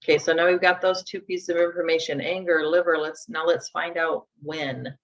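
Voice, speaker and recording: A woman's voice: low-pitched, steady tone, normal speed, a little hiss or hum. A woman in her forties teaching a live workshop, conversational as she talks through each step aloud. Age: 40s. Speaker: instructor. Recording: dull lo-fi sound.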